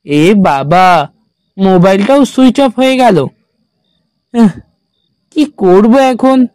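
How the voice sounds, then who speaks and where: A man's voice: sits high, lively intonation, slow, darkish tone, clean tone, no room sound, clean studio sound. A man voicing an anxious, devout male traveller in a horror cartoon, muttering and pleading fretfully. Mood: worried. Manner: worried muttering to himself, pleading.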